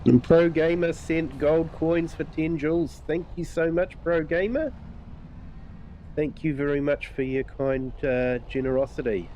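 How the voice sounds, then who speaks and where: Slow-talking stuffed-up New Zealand man. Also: fairly high pitch, muffled recording, a little hiss or hum. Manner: relaxed, rambling, many fillers. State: stuffed-up nose. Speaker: game streamer. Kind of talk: casual live-stream commentary.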